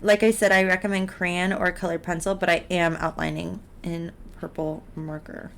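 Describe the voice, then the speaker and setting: A woman teaching art in a casual step-by-step drawing tutorial, encouraging and unhurried as she talks through each step while she works. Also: on the low side, level tone, fairly bright tone. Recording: clear background noise, drawing sounds.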